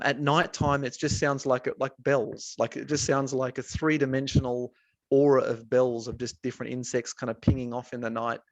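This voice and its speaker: A man from Australia talking casually in a webinar, pausing often with fillers and restarts, speaking from an office building. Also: mid-range voice, dry recording. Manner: hesitant, many restarts and fillers. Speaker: researcher.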